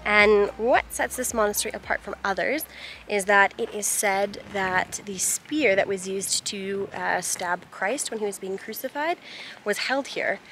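A woman's voice: mid-range voice, a little slow, fairly bright tone, soft background music. A woman in her thirties chatting casually as a travel vlogger, talking to a camera at arm's length. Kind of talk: casual vlog chat.

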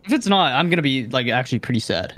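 A young man co-hosting a casual clip reaction, joking around and explaining things, stammering when he gets excited. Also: mid-range voice, quick pace. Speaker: co-host reacting to gameplay clips.

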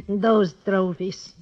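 An actress playing a cold, mocking blackmailing duchess in a 1950s radio drama, speaking in measured, formal phrases. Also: female voice, mid-range voice, a little mellow. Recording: muffled 1950s radio recording.

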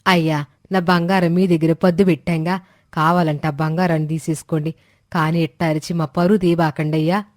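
A woman voicing a kind, hospitable village mother-in-law who talks gently. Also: low voice, little pitch movement, fairly quick, no room sound, clean studio sound, natural voice. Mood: gentle.

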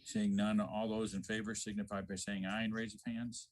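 A man's voice: on the low side, level tone, moderate pace. A man chairing a board meeting with formal procedure.

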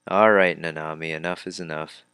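A man's voice: a bass voice, steady tone, fairly quick, fairly bright tone, smooth, no echo. A man doing casual let's play commentary, reading game dialogue aloud and reacting informally with some mild swearing. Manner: informal, reactive, mildly profane. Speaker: let's player. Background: game music.

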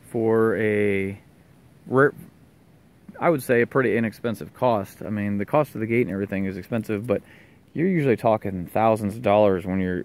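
A man's voice: fairly low pitch, darkish tone. An American man giving a casual, unscripted explanation as a DIY video maker, talking outdoors into a handheld camera. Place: outdoors in a leafy wooded yard.

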